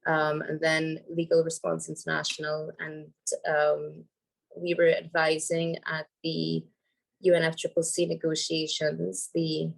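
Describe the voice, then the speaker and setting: A woman, hoarse from a cold, speaking on a video call as a panel speaker, thoughtful with many ums and restarts. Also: low-pitched, completely flat delivery, measured pace, rough recording. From Trinidad and Tobago. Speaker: panel speaker, environmental lawyer. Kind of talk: casual panel talk.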